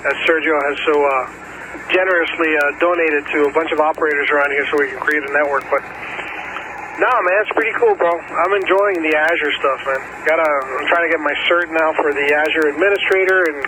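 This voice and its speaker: Higher-voiced man on a noisy radio link. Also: fairly even intonation. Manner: informal, halting, slangy with "dude" and "bro". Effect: two-way radio transmission. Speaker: ham radio operator. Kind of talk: casual technical chat over radio. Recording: noisy ham radio repeater audio.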